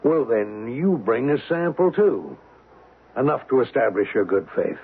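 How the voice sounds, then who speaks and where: An actor playing a cocky American blackmailer in a radio drama, brash, terse and calculating. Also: male voice, medium pitch, sing-song, a little slow, slightly rough, soft background music, exaggerated voice. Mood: businesslike, demanding. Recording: muffled phone-like old radio recording.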